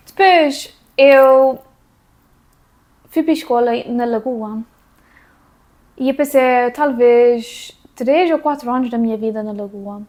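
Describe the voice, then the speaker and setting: Woman vlogger, Azorean accent with a North American mix, somewhat high voice, loose self-correcting delivery. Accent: Azorean Portuguese mixed with North American English. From Azores, São Miguel. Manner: rambling, self-correcting, with bursts of laughter. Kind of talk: casual talk to camera.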